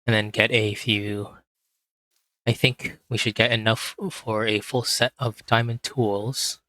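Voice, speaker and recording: A man with a hoarse voice, quietly talking himself through a game as he plays, in a relaxed, slow, muttering way. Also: fairly low pitch, no room sound, low-quality recording. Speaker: gaming video host. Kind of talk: casual gameplay commentary.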